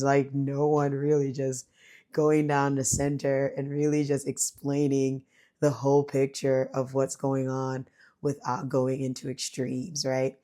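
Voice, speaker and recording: A woman with a hoarse voice from illness, speaking softly and thinking aloud in a rambling, reflective home monologue. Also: rumbling low. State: hoarse, losing her voice from illness. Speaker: vlogger. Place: at home, speaking quietly while her son sleeps.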